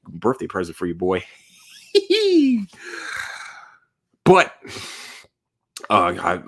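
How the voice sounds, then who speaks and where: Animated man in his thirties, rambling. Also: low-pitched. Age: 30s. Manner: animated, rambling, joking, lots of fillers. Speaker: podcast host. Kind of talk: casual solo podcast monologue.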